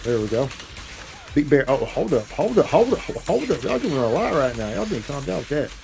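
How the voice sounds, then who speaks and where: A man's voice: on the high side, sing-song, brisk, gravelly, a little mellow. A man giving casual, slangy live gameplay commentary, repeating phrases and tossing in playful vocal sound effects. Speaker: game livestreamer.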